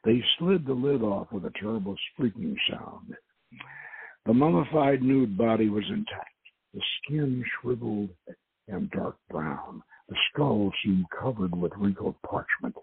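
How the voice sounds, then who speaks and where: A man reading an adventure story aloud in a dramatic narrating style, with a husky, gravelly voice and a halting delivery. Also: lowish voice, animated, no room sound. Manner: halting, stumbles and corrects words. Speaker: host reading own novel aloud. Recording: tinny phone-line sound.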